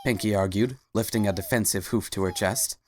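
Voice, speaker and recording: A woman's voice: rumbling low, brisk, a little mellow, slight background noise. A woman reading scripted story narration as the narrator of a dramatic reading.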